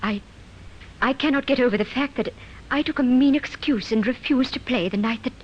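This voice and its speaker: An actress playing a genteel, fluttery widow in an old radio drama, hesitant and plaintive in manner. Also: female voice, sits high, a little fast. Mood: wistful, hesitant. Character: genteel, fluttery widow under suspicion of murder. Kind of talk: 1950s radio drama acting. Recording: dull, noisy old radio recording.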